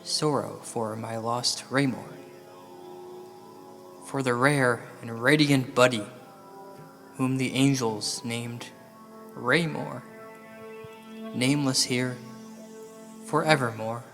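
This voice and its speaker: A man telling a comedic ghost story as a storyteller, deadpan and hesitant, with mock-gothic dramatic pauses. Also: mid-range voice. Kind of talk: slow comedic ghost-story narration, part verse recital. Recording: long hall reverb over music. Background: music.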